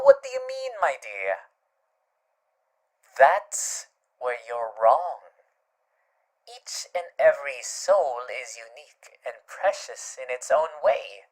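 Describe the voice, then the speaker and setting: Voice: medium pitch, poor audio. An actor voicing a suave, theatrical male demon who soothes the listener tenderly in an ASMR roleplay, often dropping to murmurs. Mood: theatrical, reassuring.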